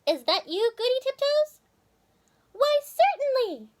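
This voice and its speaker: A woman's voice: very high-pitched, big pitch swings, unhurried, pitched above the performer's own voice, exaggerated voice. A woman voicing a fat, worried squirrel husband from a children's storybook. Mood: pleasantly surprised. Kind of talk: storybook character voice.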